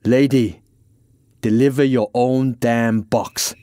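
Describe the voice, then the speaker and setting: Man doing a fussy, high-strung aggrieved caretaker. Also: fairly even intonation, slow pace, dark tone, no echo, close to an everyday voice. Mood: fed up. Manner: pedantic, exasperated. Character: fussy, aggrieved male caretaker, easily wound up.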